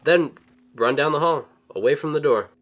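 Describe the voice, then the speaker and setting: A man's voice: fairly high pitch, moderate pace. A man giving step-by-step instructions as the narrator of a video game walkthrough. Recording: slightly dull, lo-fi voice-over.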